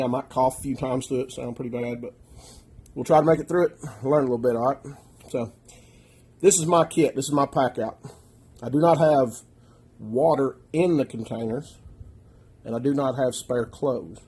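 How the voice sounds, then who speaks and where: Nasal, husky-voiced American outdoorsman. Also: mid-range voice, normal speed, long pauses, a little mellow. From United States. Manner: plainspoken, folksy, keeps checking in with "okay?". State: getting over a chest infection. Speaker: outdoors channel host. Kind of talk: casual gear walkthrough. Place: living room, indoors.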